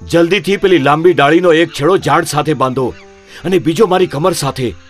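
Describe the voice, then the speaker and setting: A selfless, brave monkey king acted by a man commands his troop in a children's cartoon tale. His voice is fairly high and urgent, with light music underneath. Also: brisk, lightly acted. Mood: urgent commanding.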